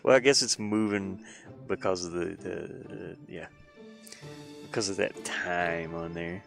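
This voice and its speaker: A man with a fairly deep, fry-edged voice talks casually on a live stream. He thinks aloud in halting, muttered phrases as he works, over faint background music. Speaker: hobby game developer streaming his work. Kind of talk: casual live-stream chat while working.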